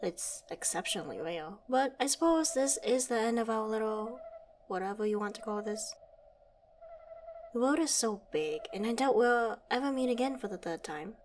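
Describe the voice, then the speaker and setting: A woman's voice: a little high, lively intonation, normal speed, slight background noise, natural voice. A woman playing a suave, witty secret agent in an audio roleplay, wry and polite, talking as if to a trusted partner. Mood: warm, reflective. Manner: wry, polite, teasing tag questions. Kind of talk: ASMR audio roleplay, scripted.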